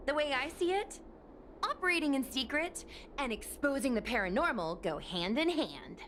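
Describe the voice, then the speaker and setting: A woman voicing an upbeat, excitable young woman who streams online, chatty and eager in a game cutscene. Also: sits high, moderate pace, slight background noise. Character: bubbly young woman street performer turned online streamer. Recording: game cutscene with music behind.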